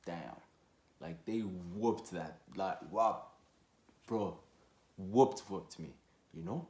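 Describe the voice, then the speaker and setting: A young man from Rwanda telling a personal story casually, in an animated, slangy and profane way. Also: low-pitched, slow. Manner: animated, slangy, profane, with acted-out quotes and sound effects. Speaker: storytelling vlogger. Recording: echoey, roomy sound.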